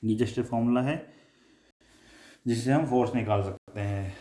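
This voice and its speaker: A man with an Indian accent giving a technical lecture, explaining in a halting, repetitive way and mixing in English terms. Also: mid-range voice, mellow, a little hiss or hum. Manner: halting, repetitive explaining with frequent filler phrases. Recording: echoey room.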